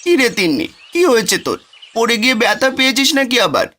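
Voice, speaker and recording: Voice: medium pitch, big pitch swings, fast talker, bright, forceful tone. A performer voicing a caring older brother, a village boy, in a cartoon with a soothing manner. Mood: soothing, concerned. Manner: soothing, instructive. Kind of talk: cartoon voice acting.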